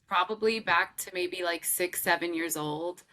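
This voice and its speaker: Bright-toned woman telling her story. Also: on the low side, measured pace. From Southern California. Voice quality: loud strong voice. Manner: animated, laughs often, lots of "um" and "like". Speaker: singer and guest. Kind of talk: casual interview storytelling.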